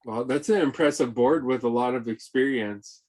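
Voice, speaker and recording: A man's voice: mid-range voice, normal speed, darkish tone. A man hosting an online interview, asking questions in a friendly, upbeat way. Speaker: interview host.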